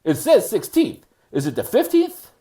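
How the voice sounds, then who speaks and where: A man acts out a judge handing down a ruling in a courtroom reading. He is formal and stern but fair, admonishing in measured phrases. His voice sits a little high and rises and falls widely. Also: huge pitch swings, dark tone. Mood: questioning. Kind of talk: readers theater reading of a court transcript.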